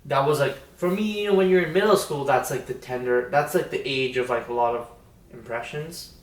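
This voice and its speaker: Young man, casual podcast guest, slangy, repetitive phrasing, medium pitch, echoey distant recording. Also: moderate pace. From India, Australia and New York. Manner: informal, slangy, repeats himself. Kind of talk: casual chat, personal reflection. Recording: echoey room, distant from the mic.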